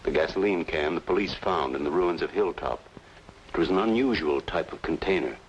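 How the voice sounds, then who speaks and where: A low-pitched doctor played by a man speaks with formal, hesitant gravity and quiet insistence. It is old-time radio noir acting, heard through a muffled recording with some noise. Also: fairly quick, natural voice. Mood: grave, cautioning.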